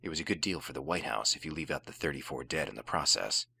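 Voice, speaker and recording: An actor playing a gruff, world-weary American special forces operative in a radio drama, terse and dry in delivery. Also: male voice, a bass voice, expressive, fast, pushed and bright, no echo, exaggerated voice. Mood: grim. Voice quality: very gravelly growl. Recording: music bed under dialogue.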